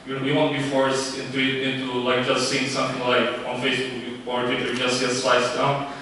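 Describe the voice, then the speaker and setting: Guy pitching a startup, lots of ums, pretty monotone, heard from a distance in a super echoey room. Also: a little hiss or hum. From Romania. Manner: halting, many fillers and restarts. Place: event room.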